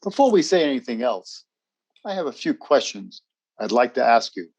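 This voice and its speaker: Husky, formal army officer. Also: male voice, mid-range voice, big pitch swings, darkish tone. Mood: firm, courteous. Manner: formal, brisk, unyielding. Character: firm, procedural male military security officer. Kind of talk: radio drama acting.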